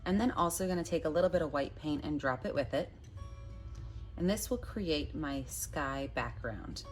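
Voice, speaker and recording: A woman's voice: deep voice, lively intonation, normal speed. A woman art teacher hosting an instructional step-by-step tutorial, addressing viewers in a friendly, direct way. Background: background music.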